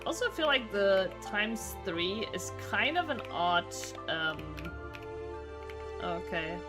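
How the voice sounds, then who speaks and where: A woman game streamer chatting casually while she plays, rambling and thinking out loud. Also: medium pitch, sing-song, takes it slowly. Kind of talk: casual livestream chat while playing. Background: game music.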